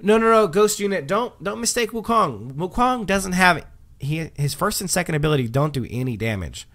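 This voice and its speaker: A man's voice: sits high, animated, normal speed, a bit bright. A man hosting a livestream, chatting casually while reading patch notes, with lots of um fillers and restarts. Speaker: livestream host.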